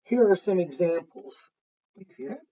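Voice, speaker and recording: A woman's voice: fairly low pitch, animated, normal speed, warm tone, husky, lo-fi sound. A woman kindergarten teacher reading a picture book aloud to young children and explaining it simply as she goes.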